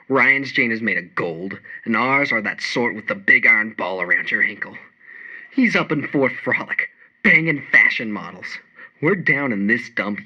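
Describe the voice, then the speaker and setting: An actor playing a bitter, tough working-class smuggler giving slangy recorded testimony in a radio drama. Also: male voice, fairly low pitch, breathy edge. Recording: dull lo-fi old recording.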